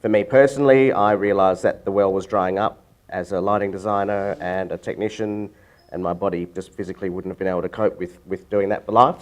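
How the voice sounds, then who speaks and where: A man speaking on a panel, reflective and hesitant. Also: lowish voice. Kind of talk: panel discussion.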